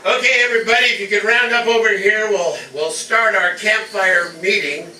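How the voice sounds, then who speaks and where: A man's voice: high voice, fairly even intonation, normal speed, pushed and bright, far from the mic. A man playing a weary, exasperated wagon master in a stage radio parody. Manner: drawling, exasperated. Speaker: amateur stage actor. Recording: roomy stage from afar, audience noise.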